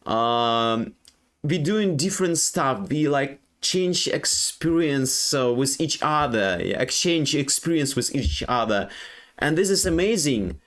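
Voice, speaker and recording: A young Ukrainian man with a Ukrainian accent, speaking English as a second language in a halting personal monologue full of fillers and restarts. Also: medium pitch, expressive, fairly bright tone. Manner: halting, many fillers and restarts, non-native grammar. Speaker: streamer.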